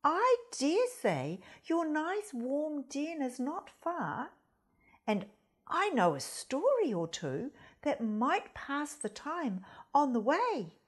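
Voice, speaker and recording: Woman voicing a high, coaxing storybook goose. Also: very animated, slow, heavily acted. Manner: bright-mannered, polite, coaxing. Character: plump, chatty, quick-witted storytelling goose.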